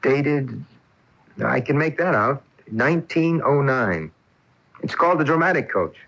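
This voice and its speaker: Affectionate, skeptical boyfriend: plainspoken, emphatic, lively inflection. Radio mystery on a lo-fi broadcast. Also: male voice, medium pitch, measured pace, gravelly, exaggerated voice. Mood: breezy. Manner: casual, emphatic. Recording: dull off-air AM radio recording.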